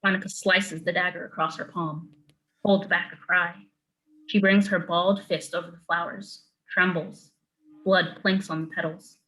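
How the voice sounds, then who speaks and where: A woman serves as narrator for a script reading, delivering stage directions. Her voice is on the low side for a woman, with a bright, forward edge. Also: flat delivery, moderate pace, poor audio.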